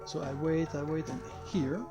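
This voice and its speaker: A native French-speaking man with a French accent gives casual, halting playthrough commentary as a game developer, hesitating in non-native English. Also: fairly high pitch, steady tone, over music.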